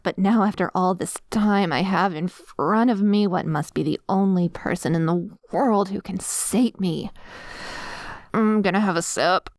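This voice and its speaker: A woman's voice: medium pitch, darkish tone, dry recording, natural voice. Woman acting a possessive vampire woman, close and dry. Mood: hungry, intoxicated. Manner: breathy sighs, drawn-out savouring words, slipping into whispers; commanding, condescending. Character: dominant, possessive vampire woman, obsessive and hungry. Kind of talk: scripted ASMR roleplay audio, addressing the listener.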